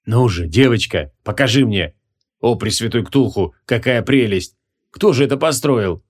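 A man voicing a gleeful, excitable half-blood sorcerer who drives flying beasts, in an audiobook. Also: mid-range voice, moderate pace, natural voice. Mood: delighted. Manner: excitable, exclaiming, coaxing. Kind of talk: audiobook character acting.

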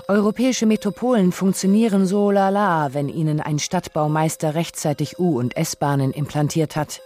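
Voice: medium pitch, a little mellow, natural voice. A narrator with reading a scripted, essayistic radio feature in a pointed, literary manner.

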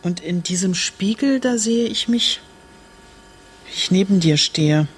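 Radio drama: middle-aged wife, husky, reflective, disillusioned, probing and challenging. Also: female voice, medium pitch, animated, unhurried. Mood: pensive, estranged. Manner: reflective, challenging, pointed questions. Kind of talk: naturalistic German radio drama dialogue.

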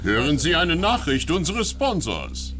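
A man narrating a scripted radio drama as its announcer, with a mock-dramatic, deadpan delivery. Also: fairly high pitch, measured pace. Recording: loud music under the voice.

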